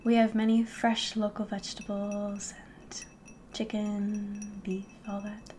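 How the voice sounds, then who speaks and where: A woman playing a friendly, curious innkeeper in an ASMR roleplay, speaking softly and politely, often slipping into a whisper. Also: medium pitch, completely flat delivery, a little hiss or hum, natural voice. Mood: hospitable. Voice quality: soft, breathy, often whispering. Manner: gentle, unhurried, polite, hospitable. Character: friendly, curious female innkeeper of a fantasy tavern.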